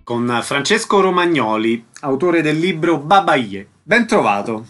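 A man's voice: on the high side, big pitch swings, a little slow, fairly bright tone, faint music behind. A man working as a radio interviewer, presenting and putting short, prepared questions.

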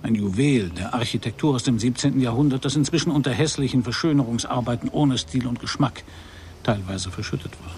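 An actor performs a male private detective for a radio crime drama. He is observant and unruffled, with a gravelly voice and a dry, wry delivery that stays polite. A faint noise sits under the recording. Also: mid-range voice, brisk, darkish tone, close to an everyday voice. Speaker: narrator and lead actor.

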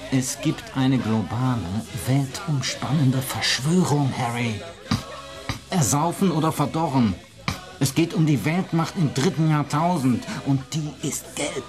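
An actor as a ranting, foul-mouthed conspiracy theorist, music behind. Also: male voice, medium pitch, unhurried, natural voice. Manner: emphatic, coarse, ranting. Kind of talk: German radio play acting.

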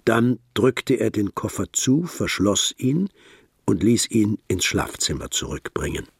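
Male story narrator, lowish pitch, husky, measured literary delivery, radio drama, dry close sound. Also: sing-song, no echo, full character voice. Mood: measured, suspenseful.

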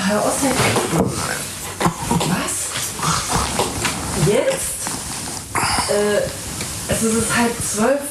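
A woman's voice: a little high, heavy background noise. An actress playing a curious, determined female radio journalist who talks casually and spontaneously in a naturalistic radio drama.

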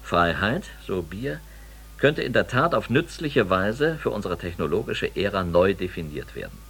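Ein Sprecher gibt in einem Hörspiel den sachlichen, bedächtigen Erzähler mit dokumentarischem, ernstem Ton. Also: male voice, deep voice, very animated, gravelly, a bit bright, slightly rough, exaggerated voice. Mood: sober, measured. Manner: sober, deliberate, factual. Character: male documentary narrator, sober, measured and grave. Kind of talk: scripted radio drama narration.

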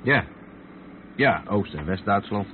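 An actor playing a calm truck driver who explains things patiently to his mate in a radio drama. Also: on the low side, slow pace. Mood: patient, explanatory. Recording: tinny narrow-band radio recording with vehicle noise.

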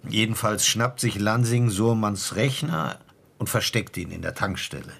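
Police inspector for radio crime drama: very low, husky, dry and businesslike. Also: male voice, a little slow, fairly bright tone, exaggerated voice. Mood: matter-of-fact. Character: seasoned police inspector, male, dry and matter-of-fact. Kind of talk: naturalistic German radio crime drama dialogue.

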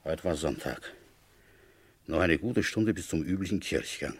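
A man playing an ailing, dogged police inspector in his fifties in a radio crime drama, weary and blunt, with a husky voice. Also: deep voice, lively intonation, measured pace, full character voice. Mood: pensive narration. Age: 50s. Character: ailing, dogged French village police inspector, fifty-five. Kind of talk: German radio crime drama acting and first-person narration.

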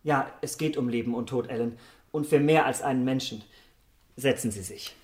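An actor playing an elegant young gentleman in a German radio drama, commanding and coldly urgent in a polite, theatrical manner. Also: mid-range voice, fairly even intonation, moderate pace, lightly acted. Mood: controlled urgency.

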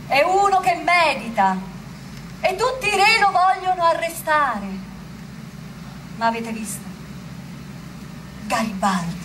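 An actress playing a plain-spoken, sceptical but proud Roman commoner of the 1800s, musing haltingly in a stage monologue. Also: female voice, very high voice, sing-song, noisy background, exaggerated voice. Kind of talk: theatrical monologue.

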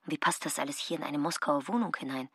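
An actress playing a bewildered, curious woman in a radio drama, hushed and breathy, as if thinking aloud. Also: deep voice, quick pace, dry recording, clean studio sound. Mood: puzzled. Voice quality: breathy, half-whispered. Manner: hushed, wondering. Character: bewildered, curious woman guest in an eerie place. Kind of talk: radio drama acting, inner monologue.

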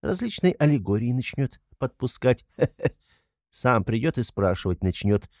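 A man's voice: mid-range voice, sing-song, a little slow, darkish tone, no echo, clean studio sound. A man playing a wily, fussy police investigator from a classic novel, falsely fatherly, rambling and coaxing in an obsequious way. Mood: sly, taunting.

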